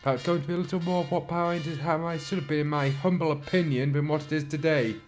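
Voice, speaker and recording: A man with a sore throat who reads fan fiction aloud, breaking off for dry, mocking asides and frequent throat clearing. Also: fairly high pitch, steady tone, racing, faint music behind. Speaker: channel host reading fan fiction. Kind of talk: dramatic reading with sarcastic commentary.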